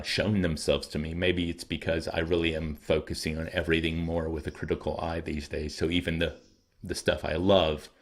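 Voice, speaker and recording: A man hosting his own channel, talking casually and thoughtfully to his viewers, often pausing to weigh his words, his throat a little dry and scratchy. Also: low-pitched. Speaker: channel host.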